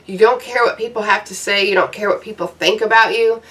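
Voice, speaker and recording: A woman's voice: medium pitch, a little fast, pushed and bright, far from the mic, low-quality recording. Blunt, profane woman ranting to camera. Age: 30s. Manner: blunt and profane, loose and rambling. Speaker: YouTuber. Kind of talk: casual advice rant to camera.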